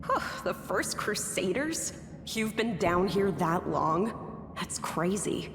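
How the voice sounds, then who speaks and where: An actress playing an upbeat young adventurer in a video game, breezy and chatty. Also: female voice, medium pitch, animated, takes it slowly. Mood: amazed. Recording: echoey hall, background noise.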